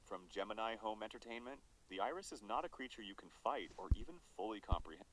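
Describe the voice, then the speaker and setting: A man's voice: on the low side, lively intonation, quick pace, fairly bright tone, slight background noise, rough recording. A man reading scripted horror documentary narration in an ominous, dramatic manner.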